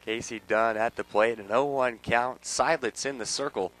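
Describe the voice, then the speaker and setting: A man calling a softball game live on the radio, measured between plays and ready to burst into excited calls. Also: mid-range voice. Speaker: play-by-play sports commentator.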